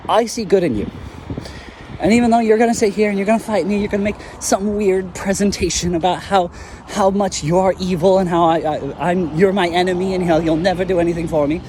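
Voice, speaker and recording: A man's voice: high voice, steady tone, brisk, a little mellow, slight background noise, lightly acted. A man playing a brash, stubborn, kind-hearted hero in an audio drama, talking in emphatic, rambling slang. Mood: earnest, determined.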